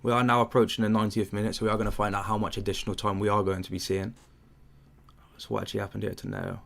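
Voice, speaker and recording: Low gravelly young man doing casual commentary. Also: flat delivery, very fast, fairly bright tone. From England. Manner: chatty, rambling with fillers, answers chat questions. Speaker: watchalong host. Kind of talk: casual live football commentary.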